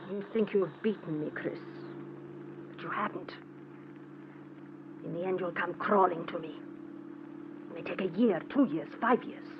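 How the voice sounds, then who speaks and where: An actress playing a possessive, volatile wife in an intense, imperious manner in an old-time radio mystery. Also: female voice, on the low side, unhurried, long pauses. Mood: coldly confident. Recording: muffled, noisy old radio recording.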